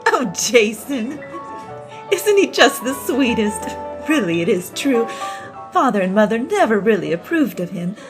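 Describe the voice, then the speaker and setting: Lady acting gushy sweet young wife in radio drama, highish swoopy voice, music under it. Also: big pitch swings, unhurried, rough recording. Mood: delighted. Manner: effusive, dainty, exclaiming. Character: gushing, sweet, excitable young newlywed wife. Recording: music bed under dialogue.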